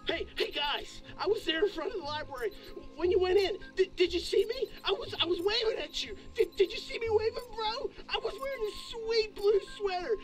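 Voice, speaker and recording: A man's voice: extremely high pitch, brisk, few pauses, over music, rough recording. An actor playing an over-eager, dopey young male fan, stammering and gushing through a phone message in a video game. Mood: eager, excited.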